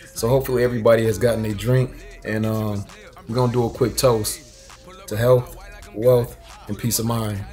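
Laid-back man with a flat voice. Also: medium pitch, moderate pace, soft background music. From Washington State, US. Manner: laid-back, slangy, with fillers. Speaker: music reviewer, channel host. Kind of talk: casual album reaction chat. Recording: noisy background.

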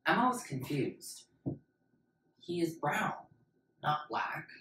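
A woman's voice: low voice, sing-song, slow pace, a bit bright. Young woman reading in an echoey room. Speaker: reader. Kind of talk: expressive book reading. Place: plain-walled room indoors. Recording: echoey room with background noise.

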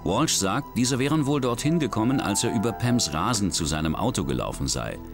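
A man narrating a true crime documentary in a measured, dramatic storytelling manner. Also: on the low side, very animated. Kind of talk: scripted narration. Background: music.

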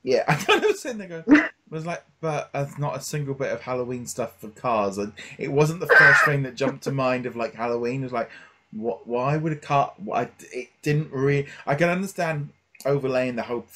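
A man's voice: mid-range voice, lively intonation, normal speed, background noise. A middle-aged man chatting casually as a podcast host, hesitant and rambling as he thinks aloud. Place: small home room with shelves, indoors. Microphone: headset.

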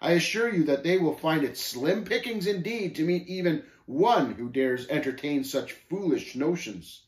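A male storyteller puts on an arrogant, contemptuous scoffer from an old-fashioned allegory. He gloats and snaps in archaic phrases, his pitch swinging widely in a roomy, echoing space. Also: lively intonation, normal speed, close to an everyday voice. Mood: victorious, forceful. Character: haughty sneering scoffer named Shame, taunting pilgrims.

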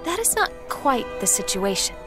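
A woman's voice: high voice, sing-song, moderate pace, over music. An actress playing a young game princess, earnest and polite with a girlish, playful side. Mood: formal. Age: young adult.

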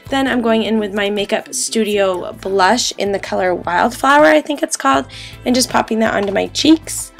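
A young woman in her twenties, raspy from a cold, chatting through a makeup tutorial in a bedroom, full of ums and likes. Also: medium pitch, normal speed, soft background music. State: getting over a cold. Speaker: beauty vlogger. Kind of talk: casual makeup tutorial talk. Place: bedroom, indoors.

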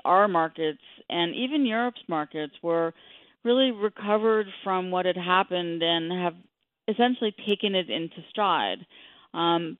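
A middle-aged woman explaining things as an expert guest in a radio interview, with measured, hesitant phrasing. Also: fairly low pitch, no room sound. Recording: thin phone-line sound.

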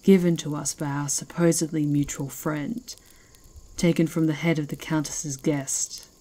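A woman playing a fierce, mocking werewolf chieftain in an ASMR roleplay, speaking in a slow, taunting, imperious way. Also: low-pitched, little pitch movement, dark tone, poor audio. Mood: appraising.

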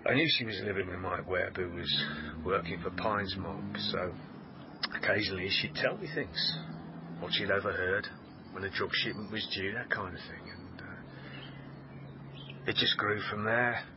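An actor playing a weary, sardonic British police officer in a radio drama, speaking in a measured, halting way. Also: male voice, deep voice. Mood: weary, subdued. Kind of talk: naturalistic radio drama acting. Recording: dull radio recording, clear background noise.

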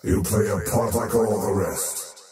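An actor voicing an arrogant, menacing faceless void creature in a video game, with pompous, ominous and theatrical delivery. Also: male voice, lowish voice, steady tone, a little slow, recorded from a distance, lightly acted. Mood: scheming. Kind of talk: theatrical game character voice lines. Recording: roomy reverb over background music.

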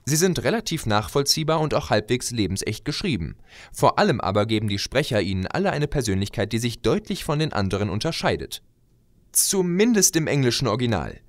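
A man delivering a scripted opinion monologue as a video blogger, conversational and expressive with rhetorical asides. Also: medium pitch, a little fast, dry recording, clean studio sound. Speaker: video blogger and fan audio drama maker.

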